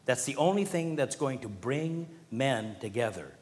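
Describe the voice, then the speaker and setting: A man preaching a sermon from the pulpit. His delivery rises to emphatic points and falls to hushed, earnest lines. His voice carries a long reverb from a large hall. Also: medium pitch, animated, a little slow. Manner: preaching with swells of emphasis and quiet drops. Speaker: pastor.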